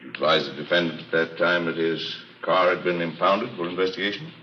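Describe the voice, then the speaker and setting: An actor playing a formal, methodical prosecutor questioning a witness in a radio courtroom drama. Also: male voice, low voice, fast, close to an everyday voice. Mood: formal, probing. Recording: dull, faintly noisy old radio recording.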